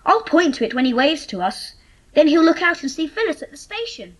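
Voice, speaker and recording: A woman's voice: high-pitched, big pitch swings, fairly quick. A woman playing an earnest, polite and practical child in a dramatised audiobook, with a childlike manner. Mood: resourceful.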